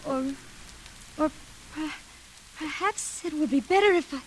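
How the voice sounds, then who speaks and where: High-voiced, slow, deranged vengeful sister. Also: female voice, rough recording. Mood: punishing, menacing. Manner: slow, halting, repetitive. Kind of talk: 1940s radio thriller acting. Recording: vintage radio recording with hiss.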